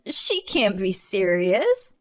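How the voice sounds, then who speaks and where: A woman's voice: mid-range voice, huge pitch swings, slow, full character voice. A woman doing a whiny, spoiled mother-in-law.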